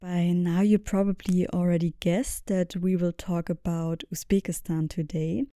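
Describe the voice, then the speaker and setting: A woman's voice: on the low side, flat delivery, measured pace, warm tone, no echo, clean studio sound. A woman hosting a podcast, introducing the topic and her guests in a conversational way. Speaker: podcast host. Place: indoors, sitting with guests in Berlin.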